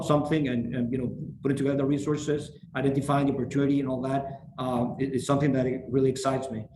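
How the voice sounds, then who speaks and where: Middle-aged man, program founder, halting delivery, video call audio in a roomy space with faint background noise. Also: mid-range voice, a little mellow. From Venezuela. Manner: halting, many fillers and restarts. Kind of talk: orientation talk on a video call.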